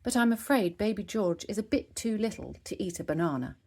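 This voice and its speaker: Woman as a gentle, lively storybook mother pig. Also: medium pitch, brisk. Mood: gentle, reassuring. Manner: soft, patient praise and explaining.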